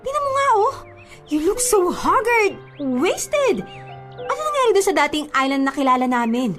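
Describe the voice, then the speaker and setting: Sassy, shrill-pitched Filipina best friend. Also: female voice, sing-song, normal speed. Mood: mocking, frank. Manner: nagging, sarcastic, dramatic. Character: blunt, exasperated, sassy young woman, a best friend. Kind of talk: radio drama acting in Taglish. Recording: music behind the voice.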